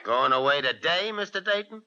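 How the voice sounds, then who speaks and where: A man's voice: fairly high pitch, lively intonation, a little fast, bright, forceful tone. An actor playing a terse, sardonic male baseball manager in a 1940s radio drama, with clipped delivery. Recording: dull old radio recording.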